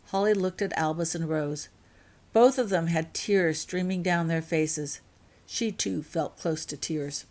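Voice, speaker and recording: A woman's voice: low-pitched, measured pace, darkish tone. A woman reading her own scripted fiction aloud as narrator.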